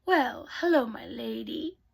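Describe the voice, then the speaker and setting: A woman's voice: a little high, animated, slow pace, gravelly, slightly rough, exaggerated voice. A woman voicing a startled but courteous lizard turned coachman in a storybook tale. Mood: amazed, courteous.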